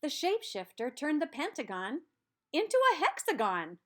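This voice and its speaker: Female picture-book narrator: slightly high voice, very varied intonation, deliberate emphasis on key words. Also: very animated. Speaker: storybook reader. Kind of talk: expressive children's read-aloud narration.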